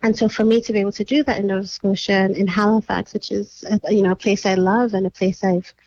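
A woman from Nigeria, a curator and writer, answering interview questions thoughtfully with many pauses and fillers. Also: medium pitch, little pitch movement, darkish tone, dull audio.

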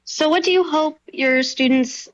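A woman's voice: high-pitched, a little slow, highs cut off. A woman interviewer asking prepared questions in a friendly way.

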